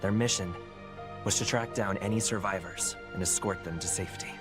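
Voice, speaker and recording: A man playing the solemn storyteller of a dark fantasy game, reading scripted narration deliberately. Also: deep voice, loud music behind the voice, lightly acted. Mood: solemn, ominous.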